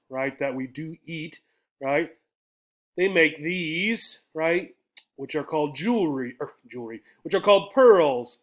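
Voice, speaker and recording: A man with an American accent explains vocabulary to English learners in a didactic way, repeating key words and often checking in as he goes. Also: fairly high pitch. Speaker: English teacher.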